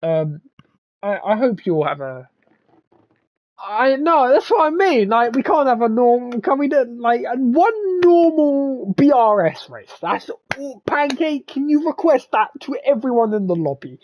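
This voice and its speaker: A man who commentates races, his voice hoarse and strained from shouting, rambling and stammering through a casual wrap-up. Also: very high-pitched, big pitch swings, unhurried. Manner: stammering, rambling, restarts often; swears, laughs at himself. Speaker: race commentator. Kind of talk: casual post-race wrap-up. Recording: dull lo-fi mic.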